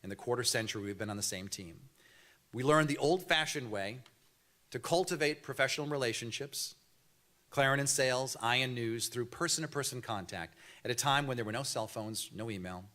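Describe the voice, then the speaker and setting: A man's voice: medium pitch, sharp tone. Earnest man giving an award speech. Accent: mild Boston. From Boston area, USA. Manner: measured, earnest delivery with light storytelling humor. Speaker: TV news anchor accepting an award. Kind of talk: prepared award acceptance speech. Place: banquet hall podium. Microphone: podium microphone.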